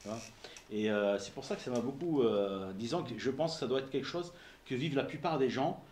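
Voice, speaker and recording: A man's voice: medium pitch, fairly quick, darkish tone. Male host, casual and hesitant delivery, roomy recording with faint noise. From abroad, emigrated to France. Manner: informal, uses fillers like "on va dire", hesitant. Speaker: interviewer, podcast host. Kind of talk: casual interview.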